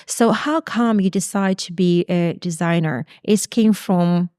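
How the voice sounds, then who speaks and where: A woman's voice: fairly low pitch, measured pace, dark tone, dry recording, clean studio sound. A woman hosting a podcast interview in casual, imperfect English. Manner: non-native English, broken grammar. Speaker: podcast host. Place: Portugal.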